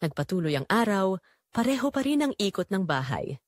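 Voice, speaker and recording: A woman's voice: mid-range voice, very animated, brisk, dry recording, studio quality. A woman playing a weary, neglected mother who tells her own story in an emotional, pleading audio drama narration. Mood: sorrowful. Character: Marites, weary, neglected, long-suffering Filipino mother.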